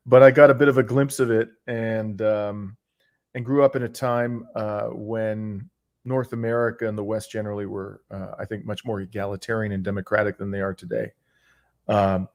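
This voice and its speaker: A Canadian man in his sixties telling his background as an interview guest, articulate and measured, pausing often mid-sentence. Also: lowish voice, darkish tone. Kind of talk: reflective autobiographical interview talk.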